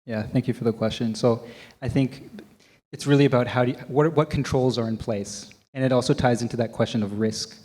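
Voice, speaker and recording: A Canadian man moderating a conference panel, talking in a relaxed way with many ums and restarts. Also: mid-range voice, a little fast. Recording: long hall reverb.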